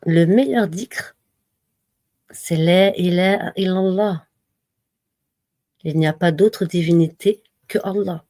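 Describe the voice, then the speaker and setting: A woman giving a slow, measured devotional reading and reminder, pausing often between phrases. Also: lowish voice, mellow, no room sound, clean studio sound. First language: not Arabic. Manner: slow, measured recitation with pauses. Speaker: religious reminder speaker.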